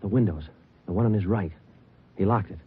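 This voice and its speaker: An American actor playing a terse, steady police detective in a 1940s radio crime drama, speaking in clipped, matter-of-fact phrases. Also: male voice, brisk, slight background noise, natural voice. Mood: urgent, hushed. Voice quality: low-pitched. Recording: dull old 1940s radio recording, music behind.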